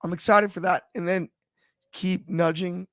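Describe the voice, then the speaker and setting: Higher-voiced man in wrestling talk. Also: fairly even intonation, unhurried, fairly bright tone, no echo, studio quality. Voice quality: slightly raspy. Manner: thoughtful, frequent restarts and fillers. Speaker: wrestling podcast panelist. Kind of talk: casual discussion.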